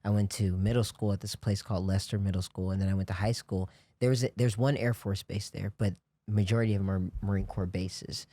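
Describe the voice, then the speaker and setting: A man's voice: low-pitched, fairly even intonation, brisk, few pauses, mellow, no echo. A young man in casual chat, telling long stories in a rambling way with many restarts and "you know, like". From grew up on US military bases in Japan. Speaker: podcast guest.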